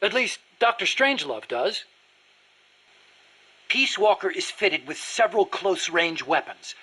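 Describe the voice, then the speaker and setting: High-voiced earnest nerdy engineer. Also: male voice, big pitch swings, measured pace, sharp tone, breathy edge, full character voice. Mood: explaining. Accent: American. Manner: explanatory, earnest, precise. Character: earnest, nerdy male weapons engineer, eager to explain. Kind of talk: video game dialogue acting.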